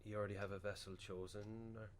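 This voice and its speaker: An actor with an Irish accent playing an awkward, placating funeral director in a radio play, hesitant and politely soothing. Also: male voice, lowish voice, level tone, fast, noisy background, close to an everyday voice. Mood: awkward, tactful. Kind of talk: naturalistic radio play acting.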